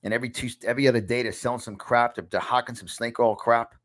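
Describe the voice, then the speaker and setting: A man's voice: mid-range voice, fairly even intonation, quick pace, a bit bright. A man in his fifties with a New York accent, giving a motivational success lesson to camera from a small home studio, emphatic and repeating phrases for effect. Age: 50s. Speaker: success coach.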